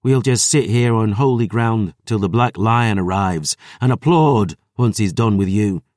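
A man's voice: on the low side, measured pace, darkish tone, no echo, studio quality, natural voice. A man voicing a fierce young princess who speaks with raised, defiant force.

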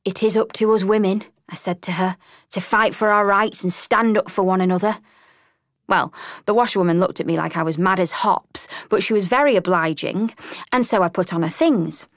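Excitable mole voiced by a woman, storybook, gleeful, chatty, dry studio. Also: medium pitch, normal speed, dry recording, pitched above the performer's own voice, lightly acted. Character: cheeky, excitable young female mole. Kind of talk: audiobook character reading.

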